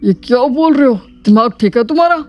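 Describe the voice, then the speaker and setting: A woman's voice: on the high side, animated, fast talker, lo-fi sound, soft background music, lightly acted. A woman voicing a stern, proud father in a cartoon family drama, speaking emphatically. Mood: shocked.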